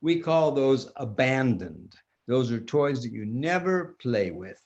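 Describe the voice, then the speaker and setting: An older man improvising a children's story like a grandpa, theatrical, with pauses and restarts. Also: mid-range voice, sing-song, a little mellow, muffled recording.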